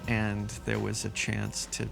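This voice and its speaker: A man's voice: on the low side, monotone, measured pace, vocal fry, over music. A man who creates animation, talking casually in a documentary interview.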